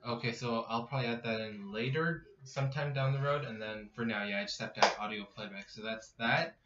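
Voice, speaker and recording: A man's voice: medium pitch, a little fast, very noisy. A man co-hosting a casual tech podcast, talking in a loose, informal, conversational way. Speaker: co-host. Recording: echoey room, distant muffled mic.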